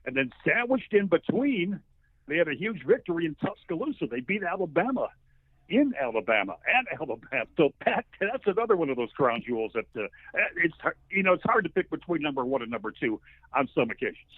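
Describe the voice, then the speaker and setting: A man's voice: fairly high pitch, very animated, a little fast, breathy edge, no echo. A man in his sixties comes through a thin, phone-like connection with a dry, close sound. He is a sports announcer giving an interview, telling stories in a conversational, folksy and wordy way. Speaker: radio play-by-play sports announcer, interview guest. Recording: narrow phone-like line.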